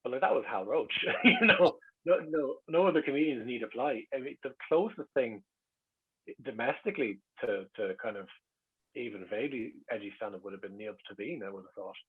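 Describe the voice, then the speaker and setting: A man with a Dublin Irish accent chatting casually, a wry and animated storyteller in a public talk. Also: medium pitch, fairly quick, slightly rough, phone-quality sound. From Dublin, Ireland. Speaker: guest speaker. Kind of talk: casual chat, reminiscing.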